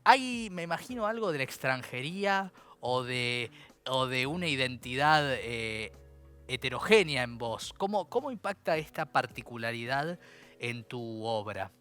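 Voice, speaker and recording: A man's voice: medium pitch, lively intonation, unhurried, bright, forceful tone, clear, resonant tone, soft background music. A man with a Rioplatense Spanish accent hosting an interview, asking long, engaged questions and often putting in short affirmations. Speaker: interview host.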